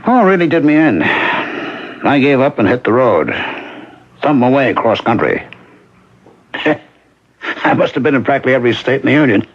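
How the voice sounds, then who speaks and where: An actor playing a gentle, plain-spoken widowed drifter with a halting, conversational manner in an old-time radio drama. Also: male voice, mid-range voice, sing-song, breathy edge. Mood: sorrowful, confiding. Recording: muffled vintage radio broadcast.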